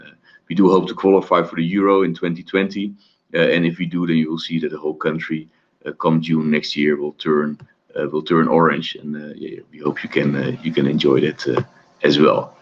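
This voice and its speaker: A man giving an informal webinar presentation, halting often with many fillers and restarts. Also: extremely low pitch, flat delivery, lo-fi sound. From Netherlands. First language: Dutch. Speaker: presenter of study-abroad webinar.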